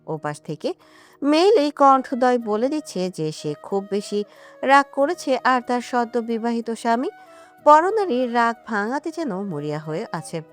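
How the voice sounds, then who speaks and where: Casting: female romance narrator, high pitch, animated swings, melodramatic scripted read over a music bed. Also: a little slow. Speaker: story narrator. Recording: music under the voice.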